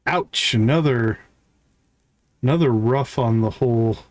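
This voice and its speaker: A man's voice: medium pitch, unhurried, no echo. A man giving casual live gameplay commentary as a streamer, thinking aloud to himself in short fragments. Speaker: game streamer.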